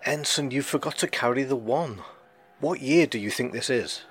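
A man playing a measured, tactful starship captain in a sci-fi audio drama. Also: medium pitch, natural voice. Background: music.

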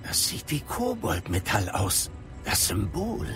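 A man acts out a learned wizard mentor in a fantasy audio drama. His delivery is formal and dry, yet swings widely in pitch with concern. Music plays clearly behind him. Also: wildly swooping pitch, takes it slowly, heavily acted. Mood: serious, puzzled. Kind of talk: dramatised fantasy radio play.

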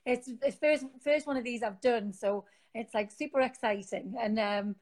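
A woman's voice: a little high, moderate pace, a little mellow. A woman with a Geordie accent, a guest on a live interview, talking casually from her sofa on a phone, rambling with many fillers and restarts. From Newcastle, England. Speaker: guest, founder of an online support group. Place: home, sitting on a sofa.

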